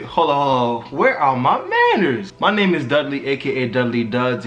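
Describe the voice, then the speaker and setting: A man's voice: mid-range voice, fairly bright tone. A man hosting his own spiritual vlog in casual slang, hyping up and directly addressing his viewers, with faint music under his voice. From Haitian background. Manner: street slang, hypes the viewers. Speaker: spiritual vlogger.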